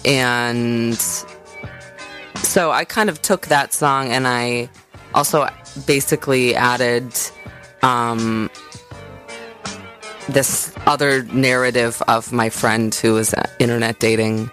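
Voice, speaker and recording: A woman's voice: extremely low pitch, fairly even intonation, slow, pauses often, fairly bright tone. Woman chatting casually over background music. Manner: informal, thinking aloud. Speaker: singer-songwriter guest. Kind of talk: casual interview chat.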